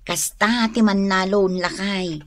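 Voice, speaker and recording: A woman's voice: mid-range voice, steady tone, slow, no room sound, close to an everyday voice. A woman playing a concerned, pleading farmer's wife in an Ilocano radio comedy-drama, emotive, with an Ilocano accent.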